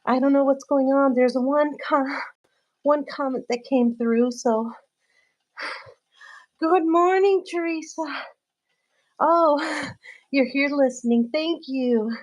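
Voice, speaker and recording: A woman's voice: high voice, slow, long pauses. A woman whose first language is Spanish chats casually with viewers during a live-streamed workout, laughing often and using many fillers. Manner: greets viewers warmly by name. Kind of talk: casual live stream chat while exercising.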